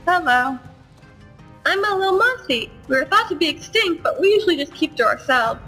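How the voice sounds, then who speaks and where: A piercingly high little fox creature speaks up like a cartoonish game companion. The voice is bright and sharp, cutesy and full of pluck, with music playing underneath. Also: female voice, animated, normal speed, exaggerated voice. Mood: chirpy, friendly. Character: small feisty fox creature follower in a game. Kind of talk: voiced game character dialogue. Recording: amateur-sounding mod recording.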